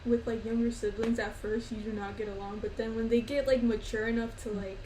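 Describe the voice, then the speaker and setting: Higher-voiced young woman in an echoey room. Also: fairly even intonation, warm tone. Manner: supportive, quick to laugh, many "mm-hmm"s. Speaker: podcast co-host. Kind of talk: casual podcast chat. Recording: echoey room with faint noise.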